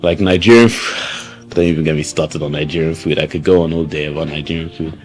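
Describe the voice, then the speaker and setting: A man's voice: rumbling low, steady tone, fairly quick, soft background music. A young man, answering interview questions loosely, with many fillers and restarts. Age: young adult. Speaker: student.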